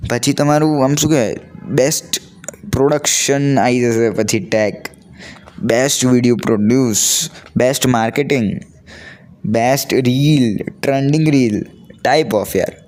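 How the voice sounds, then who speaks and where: A man's voice: mid-range voice, measured pace, darkish tone. A teenage male vlogger with a Gujarati accent gives an unscripted, earnest pep talk in colloquial speech mixed with English words. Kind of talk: unscripted casual motivational talk to camera.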